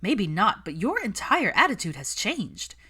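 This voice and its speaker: A woman's voice: medium pitch, wildly swooping pitch, measured pace, pushed and bright, slightly rough, pitched above the performer's own voice, full character voice. Woman playing a candid, observant young witch. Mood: frank, amused.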